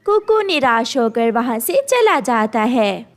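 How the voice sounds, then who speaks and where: A woman narrates a children's cartoon story from a script. The voice is very high, and the intonation moves up and down a great deal. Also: big pitch swings, slow.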